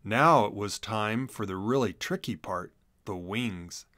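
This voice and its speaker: A man narrating a children's storybook in an expressive read-aloud manner. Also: lowish voice, measured pace, no echo, studio quality.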